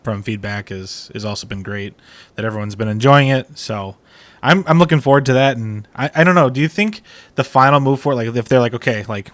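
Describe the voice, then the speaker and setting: A man's voice: mid-range voice, dry recording. A man hosting a casual interview, talking in an informal, slangy and encouraging way. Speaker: podcast host.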